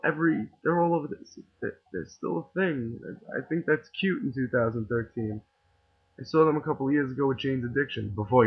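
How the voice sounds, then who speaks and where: A man with a New York accent hosting a podcast, ranting in an opinionated, sarcastic way and rambling with frequent restarts. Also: medium pitch. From New York City. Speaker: podcast host. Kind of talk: casual ranting monologue. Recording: tinny phone-like audio.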